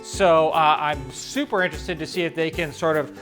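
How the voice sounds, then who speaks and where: A middle-aged man from Canada, co-hosting a travel vlog in relaxed casual chat, thinking aloud. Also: on the high side, normal speed, soft background music. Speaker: travel vlogger co-host.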